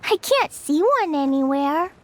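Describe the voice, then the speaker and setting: A woman voicing a tiny, excitable, childlike female fairy companion in an anime game dub, with a squeaky voice. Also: measured pace. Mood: curious, chatty. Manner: refers to herself in the third person. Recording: background music throughout.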